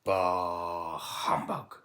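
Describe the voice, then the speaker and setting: A man's voice: sits low, steady tone, drawn-out words, a bit bright, exaggerated voice. Man acting out a grumpy, theatrical storybook miser. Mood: grumpy, scornful. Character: stingy, grumpy male vegetable miser, easily startled.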